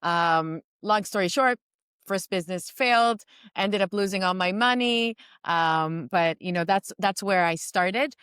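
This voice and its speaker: A woman in her forties from New York speaks as an interview guest over a video call. Her voice is smooth and resonant, set in a dry, close sound, and she talks reflectively, pausing on ums and restarting her sentences. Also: medium pitch, fairly bright tone, no room sound. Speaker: guest entrepreneur and mentor. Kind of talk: remote interview conversation. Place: home in Israel during a storm.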